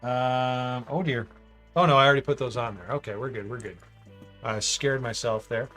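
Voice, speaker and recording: A man's voice: mid-range voice, moderate pace, a bit bright, soft background music. A man hosting a livestream, chatting casually and thinking aloud as he builds, reading out chat messages and joking with viewers. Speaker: livestream host building a model.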